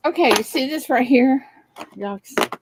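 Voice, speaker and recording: A woman's voice: sits high, expressive, normal speed, noisy background. A middle-aged woman with a Southern Texas accent, hosting a live stream and chatting with viewers in a giggly, easygoing way. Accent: Texan Southern. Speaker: host. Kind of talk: live-stream casual chat.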